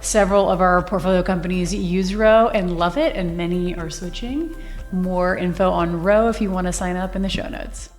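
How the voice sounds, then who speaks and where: Lower-pitched woman hosting an interview. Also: normal speed, darkish tone, faint music behind. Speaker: podcast host and investor. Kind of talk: conversational interview.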